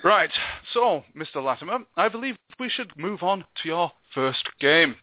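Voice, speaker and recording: Male podcast co-host, slightly high voice, jokey banter, dry phone-quality sound. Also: lively intonation, normal speed, no room sound. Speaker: co-host interviewing a guest on a gaming show. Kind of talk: casual podcast chat. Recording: thin phone-like internet radio sound.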